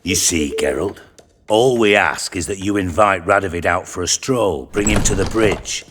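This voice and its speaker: An actor playing a cunning, theatrical spymaster in a game, with a measured, persuasive delivery. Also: male voice, sits low, noisy background, lightly acted. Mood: calculating. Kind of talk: cinematic game voice acting.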